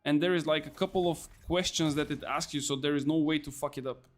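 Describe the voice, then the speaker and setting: A young man streaming a game, excitably commenting, repeating words and calling people bro. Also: fairly high pitch, fast talker, faint music behind. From Bulgaria. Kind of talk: casual gameplay commentary.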